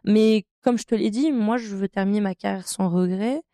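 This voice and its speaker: A woman's voice: medium pitch, moderate pace, no room sound, clean studio sound. A woman in her thirties, a professional handball player from France, telling her career story in a podcast interview with many hesitations. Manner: hesitant, many fillers, warm enthusiasm about her career.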